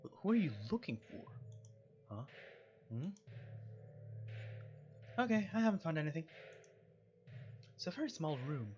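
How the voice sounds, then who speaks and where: A man with a slightly high voice plays a game and reads its dialogue aloud. He rambles casually and drops in joking asides. The sound is a little muffled, with some background noise from a home setup. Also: very animated, normal speed, long pauses, darkish tone. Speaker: let's play streamer. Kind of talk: gaming commentary, reading game dialogue aloud.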